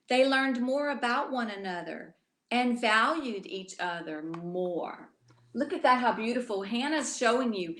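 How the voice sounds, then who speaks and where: Woman storyteller for children's picture book: slow, expressive delivery, talks straight to kids, roomy sound. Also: a little high. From Louisiana. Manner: expressive, addresses young listeners directly. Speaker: children's author reading aloud. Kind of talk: bedtime story read aloud to children.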